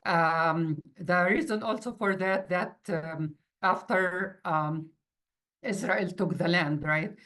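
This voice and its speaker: A middle-aged woman, a native Arabic speaker with an Arabic accent, gives an informal interview account in a halting way, searching for words. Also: fairly low pitch, fairly bright tone. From Palestinian refugee from the Middle East. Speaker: university mathematics instructor.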